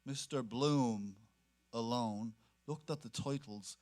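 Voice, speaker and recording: A man's voice: mid-range voice, takes it slowly, darkish tone. A man giving scripted literary narration in a dramatic reading.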